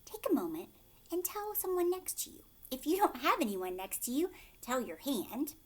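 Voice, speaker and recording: A woman teacher reading a storybook aloud to children, expressive, emphatic on key words and often asking questions. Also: sits high, moderate pace.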